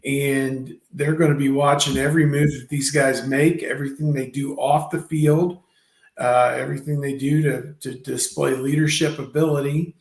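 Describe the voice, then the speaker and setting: A man in his fifties hosting a sports talk show, rambling, with many fillers and pauses. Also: mid-range voice, little pitch movement, gravelly, reverberant. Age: 50s. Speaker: podcast host.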